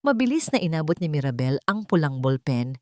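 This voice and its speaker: A woman narrating a scripted love story in a measured, dramatic way. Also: deep voice, sing-song, no room sound, clean studio sound.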